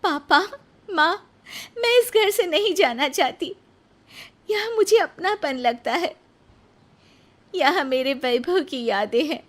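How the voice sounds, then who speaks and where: A woman's voice: high voice, pitched above the performer's own voice. A woman voicing a devoted young widowed daughter-in-law in an earnest, pleading way for a moral story. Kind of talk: animated moral-story acting.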